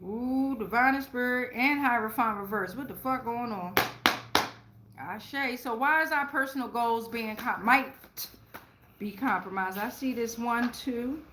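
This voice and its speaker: A woman's voice: fairly high pitch, unhurried, background noise. A woman giving a casual, rambling tarot reading, blunt and profane, full of slang and checking in with "right?". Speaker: tarot reader and channeler.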